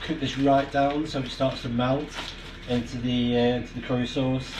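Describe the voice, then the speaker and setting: A man's voice: medium pitch, little pitch movement, moderate pace. Male vlogger, casual and rambling, mid-range voice, noisy barn recording. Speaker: amateur radio vlogger. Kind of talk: casual explanatory vlog chat. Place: inside a barn. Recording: clear background noise in barn.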